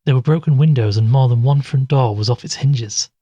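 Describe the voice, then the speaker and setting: A man playing a sceptical, weary investigator in an audio drama, measured and questioning as he talks and narrates. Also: mid-range voice, dark tone, dry recording, muffled recording, natural voice. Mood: sombre narrating. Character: sceptical, weary male investigator recounting strange events.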